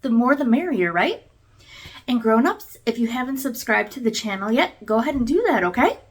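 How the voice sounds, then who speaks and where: A woman hosting a read-aloud for young children, telling a picture book in an expressive storytelling style with deliberate phrasing. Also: high-pitched. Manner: addresses young listeners directly, clear deliberate phrasing.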